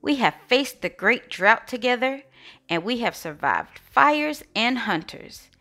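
A woman's voice: fairly high pitch, very animated, a little slow, sharp tone, full character voice. A woman voicing a popular female snake in a storybook, self-assured and reassuring as a candidate. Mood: confident, ingratiating. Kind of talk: storybook read-aloud.

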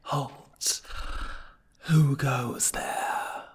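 A woman voicing a stern, formal monster palace guard in an ASMR roleplay, husky and commanding. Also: extremely low pitch, steady tone, very slow, full character voice. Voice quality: husky, breathy.

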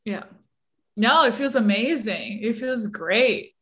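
A woman's voice: on the high side, expressive, takes it slowly, fairly bright tone. A woman in her thirties, a native Portuguese speaker, chatting casually as a show host on a video call. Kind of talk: casual conversation. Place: home room, indoors. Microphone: computer video call.

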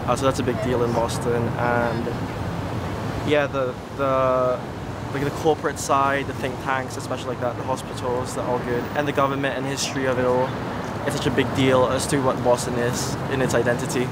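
A man's voice: mid-range voice, flat delivery, a little fast. A young man from the Philippines, raised in London and Baltimore, answering interview questions casually with many ums and likes. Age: young adult. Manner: casual, hesitant with um and like. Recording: loud street and harbor noise.

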